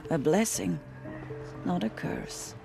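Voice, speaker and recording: Elderly warrior, creaky, halting, solemn, slow game dialogue over clear music. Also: mid-range voice. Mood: awed, grave. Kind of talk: video game dialogue acting.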